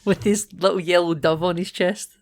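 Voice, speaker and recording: A man's voice: high-pitched, fairly even intonation, moderate pace. A man with a Scottish accent, co-hosting a casual film podcast in a playful, chatty way with frequent restarts. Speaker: podcast co-host. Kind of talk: casual film-review chat.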